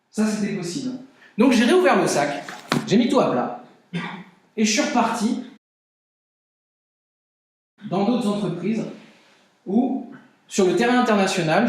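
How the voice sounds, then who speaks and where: A man's voice: sits high, a little mellow. A man giving a motivational conference talk to a live audience, earnest and emphatic, repeating key phrases. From France and Brazil. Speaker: conference speaker. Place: conference hall. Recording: very echoey hall.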